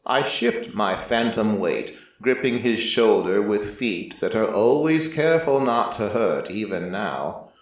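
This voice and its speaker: Slow, echoing man narrating fiction. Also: on the low side, expressive. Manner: measured, storytelling. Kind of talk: scripted fiction narration, first person. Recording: roomy, echoing voice recording.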